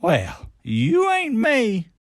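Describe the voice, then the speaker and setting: A woman voicing a gruff, swaggering male chicken rancher with a dismissive drawl. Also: on the high side, wildly swooping pitch, drawn-out words, dry recording, clean studio sound, heavily acted.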